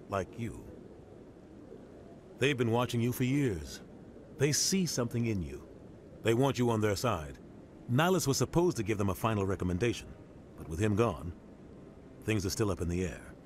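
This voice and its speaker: An actor voicing a seasoned, pragmatic military officer in a video game, explaining with measured authority. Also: male voice, fairly low pitch, very animated, darkish tone, slight background noise. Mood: grave, measured. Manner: matter-of-fact, authoritative explaining.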